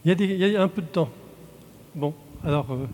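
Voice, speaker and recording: A man from Belgium, a translator, answering audience questions in a halting way with frequent restarts. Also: fairly high pitch, a little mellow, a little hiss or hum. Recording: long hall reverb.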